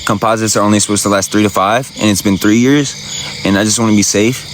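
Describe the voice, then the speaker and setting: A man with a very low voice chats to his viewers in a loose, rambling, slangy way. He is streaming from a phone outdoors at night, with crickets and insects loud behind him. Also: steady tone, fast, slight background noise. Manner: laid-back, rambling, slangy. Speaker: livestreamer. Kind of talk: casual livestream chat answering viewers.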